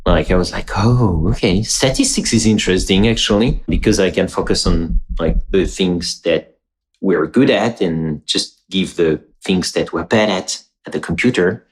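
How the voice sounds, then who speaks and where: A podcast host with a fairly low voice, chatting casually and playfully. He jokes a lot and laughs readily with his guest. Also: normal speed, rough recording. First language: French. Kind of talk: casual interview chat.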